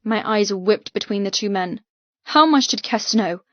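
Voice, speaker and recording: A woman's voice: mid-range voice, expressive, moderate pace, dry recording, muffled recording. A woman narrating an audiobook novel in the first person, with an intense, emotive, dramatic delivery.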